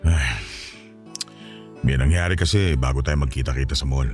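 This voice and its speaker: A man's voice: a bass voice, normal speed, darkish tone, soft background music. A man acting as a defensive, pleading married cheater in a Filipino radio drama. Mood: sheepish.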